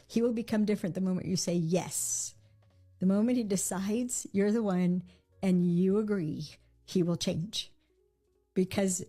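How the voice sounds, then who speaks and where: A woman's voice: medium pitch, dark tone. A woman speaking to an audience in a played clip, acting out bits of dialogue and dropping to a whisper for asides.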